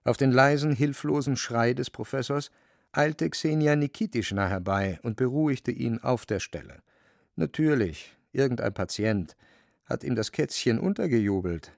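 A man narrating a literary radio play from a script, with a creaky, dry voice. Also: medium pitch, expressive, moderate pace, a little mellow, no room sound.

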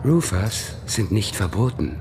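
A man's voice: fairly low pitch, big pitch swings, a little slow, over music. An actor playing a coy, evasive male witness under police questioning in a German radio crime drama, by turns dreamy and defensive.

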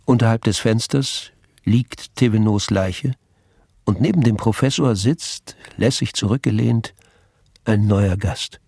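A man's voice: on the low side, unhurried, vocal fry, a little mellow, dry recording, studio quality. A man narrating a literary crime radio play. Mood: measured. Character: male narrator of a crime story.

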